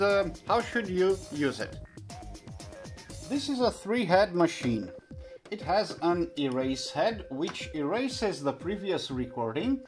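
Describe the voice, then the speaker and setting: A man in his thirties who is not a native English speaker, explaining things hands-on as a video host, in a halting, hesitant way with frequent pauses. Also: on the high side. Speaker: host of vintage audio videos. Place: small indoor room at home.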